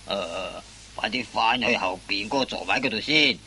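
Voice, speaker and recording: A man's voice: lowish voice, normal speed, sharp tone. An actor playing a bossy, smug policeman in a Cantonese radio farce, commanding and mocking. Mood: bossy, hushed. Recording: noisy old radio drama recording.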